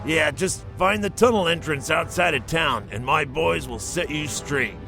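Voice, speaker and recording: A man's voice: a little high, unhurried, gravelly, over music. A man voicing a brash goblin chief engineer, talking like a chummy hustler.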